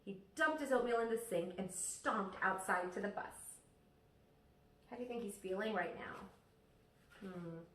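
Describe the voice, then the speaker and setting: A woman teacher reading a children's story aloud expressively, pausing to ask her listeners questions. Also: mid-range voice, a bit bright, slight background noise. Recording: echoey room, distant microphone.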